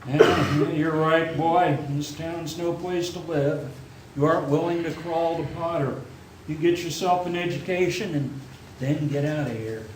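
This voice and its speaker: An actor playing a gentle, principled older father, speaking in a measured way in a stage radio play. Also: a little high, steady tone, a little hiss or hum, lightly acted. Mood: resigned, kind. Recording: echoey theatre, faint music behind.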